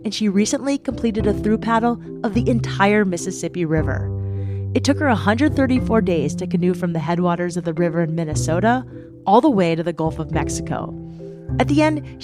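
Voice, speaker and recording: A woman podcast host narrating a scripted intro and interviewing a guest with upbeat enthusiasm. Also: fairly low pitch. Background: music bed under narration.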